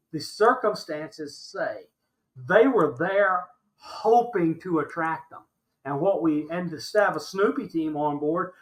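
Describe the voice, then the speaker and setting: A man's voice: high-pitched, sharp tone, rough recording. An older American man of about seventy, talking emphatically and insistently as an interview guest, repeating points for stress. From Alabama, United States. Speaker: interview guest recounting his experiences. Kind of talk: animated conversational argument.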